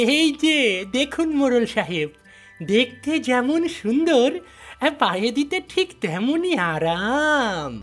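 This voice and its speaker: A woman's voice: on the high side, big pitch swings, faint music behind, heavily acted. A woman voicing an eager, fawning male servant in a fairy-tale cartoon, obsequious and drawing out the words. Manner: obsequious, chuckling, drawn-out.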